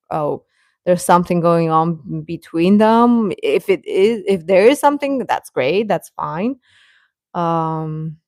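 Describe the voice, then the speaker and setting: A woman chatting casually as host of a live stream, rambling and halting, with a sarcastic streak. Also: lowish voice, a little mellow, no echo, clean studio sound. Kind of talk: casual live-stream chat about pop fandom.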